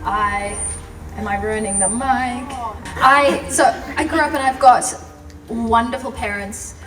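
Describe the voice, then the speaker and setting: A woman's voice: on the high side, takes it slowly. A young woman telling her personal story as a guest speaker, passionate and chatty, laughing often. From South Africa. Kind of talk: personal story at a live event. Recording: roomy, from far off, music behind.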